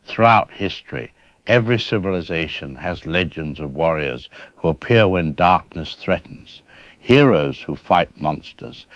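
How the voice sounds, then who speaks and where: An actor playing a sinister, courteous horror host who muses on monsters in a slow, ominous, formal way. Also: male voice, low voice. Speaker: narrator host introducing the show. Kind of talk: ominous scripted horror anthology introduction. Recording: echoing, slightly muffled sound.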